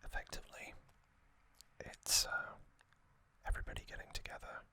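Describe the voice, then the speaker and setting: A man hosting an ASMR video, whispering a soft, hesitant recap and correcting himself now and then. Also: moderate pace. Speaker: ASMR host.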